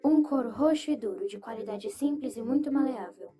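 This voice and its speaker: A woman's voice: fairly high pitch, brisk, warm tone, natural voice. A woman voicing a neutral game interface that reads out item descriptions. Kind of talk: item announcement in an audio story. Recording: clear music behind the voice.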